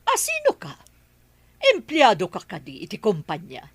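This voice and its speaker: A woman's voice: medium pitch, huge pitch swings, normal speed, breathy edge, dry recording. Curt, imperious lady boss. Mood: curt, suspicious. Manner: curt, demanding. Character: stern, suspicious mother, imperious lady boss. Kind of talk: Ilocano radio drama acting.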